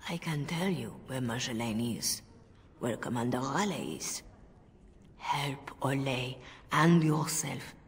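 An actress voicing a composed, earnest revered mother in a video game, soft-spoken and measured. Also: a bass voice, a little hiss or hum, exaggerated voice. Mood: resolute. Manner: measured, solemn. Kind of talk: video game cutscene acting. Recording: long reverberant hall echo.

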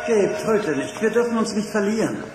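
Wry archaeologist dad in a radio play, high lively voice, echoey room, music under it. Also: male voice, moderate pace. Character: male archaeologist uncle, calm, dry humor. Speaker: voice actor. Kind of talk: German radio play acting. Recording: roomy echo with music behind.

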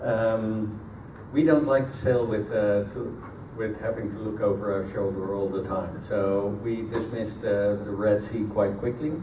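Low-voiced Dutch man in an echoey room. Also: steady tone, measured pace, hardly any pauses. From Netherlands. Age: middle-aged. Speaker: guest speaker, sailor. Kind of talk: answering audience questions at a public talk. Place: meeting room at a sailing club. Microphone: handheld PA microphone, recorded by a camera across the room. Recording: echoey room, distant camera microphone, noisy.

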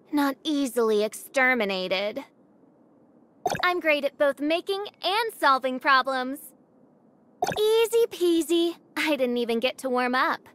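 A woman's voice: sits high, background noise. A woman playing a mischievous, bored young female gunslinger in a video game, with a teasing, languid manner. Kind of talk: video game character voice lines.

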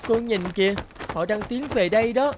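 A woman voicing a timid, polite homeless orphan boy in an animated moral tale, speaking earnestly and a little hesitantly. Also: medium pitch, normal speed, close to an everyday voice. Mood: urgent. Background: music.

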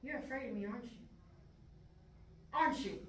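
A woman's voice: fairly high pitch, expressive, normal speed, close to an everyday voice. A woman playing a paranoid, volatile, reclusive brother in a stage scene, speaking in an agitated, insistent way. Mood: desperate. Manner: agitated, pleading, insistent, repeating phrases. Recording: echoey room, distant mic, faint noise.

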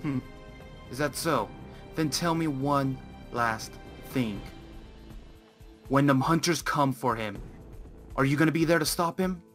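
A man's voice: mid-range voice, unhurried, long pauses, a bit bright, faint music behind, close to an everyday voice. A man as an insistent werewolf pack leader. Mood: probing, smug. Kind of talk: amateur Minecraft roleplay acting.

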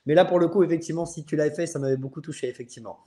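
Casting: male host, 30s, fairly high voice, earnest and halting, casual live chat from a very roomy-sounding space. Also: mellow. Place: room at home. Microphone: earphone mic on video call. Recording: roomy, echoing video-call audio.

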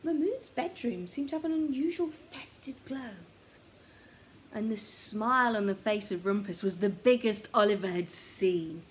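A young woman reads a children's story in an expressive, theatrical way, often dropping to a hush, recorded in a small room at home. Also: medium pitch, measured pace. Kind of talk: animated children's story reading. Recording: noticeable background noise, home recording.

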